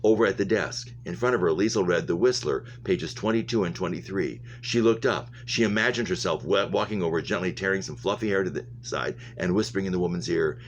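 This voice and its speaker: A man's voice: low voice, fairly quick, hardly any pauses. A man reading a novel aloud, stumbling over words now and then and going back over phrases. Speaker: reader, English teacher. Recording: muffled lo-fi audio with humming noise.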